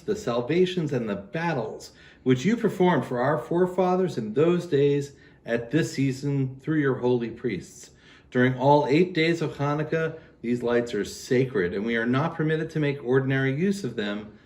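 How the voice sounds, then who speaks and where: A man's voice: mid-range voice, darkish tone. A man presenting a holiday ritual in simple, explanatory talk aimed at children. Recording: roomy, echoey indoor sound.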